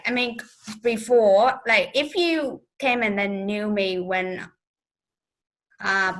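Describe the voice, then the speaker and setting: A woman's voice: medium pitch, fairly bright tone, poor audio. Hesitant woman with many fillers. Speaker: speech club member. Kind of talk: casual spoken reflection on a video call. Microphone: video call.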